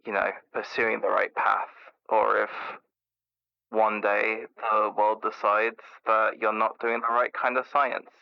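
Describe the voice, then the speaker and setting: Through a dull lo-fi phone filter comes a man playing an anxious male scientist calling into a radio drama. His lowish, gravelly voice rambles nervously and repeats itself, full of self-doubt. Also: level tone, measured pace. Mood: anxious, rambling. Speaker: radio caller. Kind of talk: improvised call-in radio acting. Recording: muffled lo-fi caller line.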